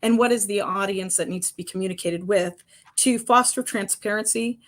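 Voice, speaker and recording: A woman with a commanding voice, answering interview questions in an emphatic, lively way. She sits mid-range in pitch and laughs lightly between her points. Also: moderate pace. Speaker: construction industry guest.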